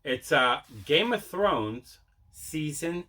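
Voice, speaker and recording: A man's voice: fairly high pitch, animated, takes it slowly, pushed and bright. A man hosting a casual vlog about his collection, rambling and repeating himself as he talks. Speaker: host of a mail day collecting vlog. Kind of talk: casual chat showing movie pickups.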